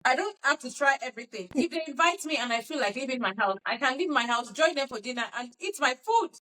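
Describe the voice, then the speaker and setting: A woman with a Nigerian accent argues her case in a lighthearted podcast debate, earnest and animated. Her voice sits on the higher side, and she keeps a mock-formal courtroom politeness. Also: fast talker, rough recording. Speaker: co-host arguing the defense in a mock trial.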